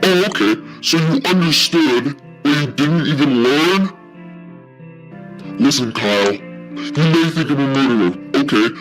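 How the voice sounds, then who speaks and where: Man acting a bitter, accusing game avatar over loud music. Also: medium pitch, expressive, a little slow, pauses often, lightly acted, poor audio. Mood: indignant. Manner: confrontational, lecturing. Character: angry, wounded game-console avatar, menacing and self-righteous. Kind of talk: dramatic storytelling voice acting. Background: instrumental music.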